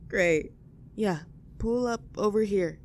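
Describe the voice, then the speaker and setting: A woman's voice: mid-range voice, slow pace, darkish tone, a little hiss or hum, lightly acted. A woman playing a young, outdoorsy tomboy friend in an audio roleplay, chatting casually in an upbeat, caring and reassuring way. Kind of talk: roleplay audio acting, casual intimate chat.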